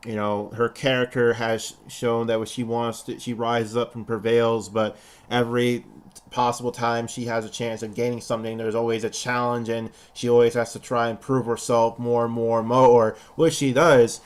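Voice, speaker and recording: A man's voice: medium pitch, flat delivery, normal speed, clean tone. A young man with a lisp, co-hosting a casual wrestling prediction chat and rambling with repeated words. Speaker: podcast co-host.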